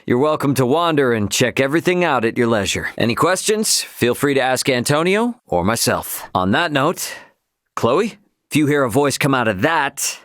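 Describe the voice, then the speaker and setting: A man's voice: medium pitch, a bit bright, no echo, studio quality. An actor playing a brash young male starship captain in a video game, with a casual, plainspoken delivery.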